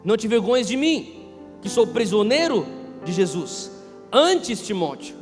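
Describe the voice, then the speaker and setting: Brazilian guy in his 30s preaching, really high voice, emphatic, big echoey hall with music under it. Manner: emphatic, repeating key phrases, swinging from hushed to loud. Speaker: pastor preaching. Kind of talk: impassioned sermon. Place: large reverberant church hall.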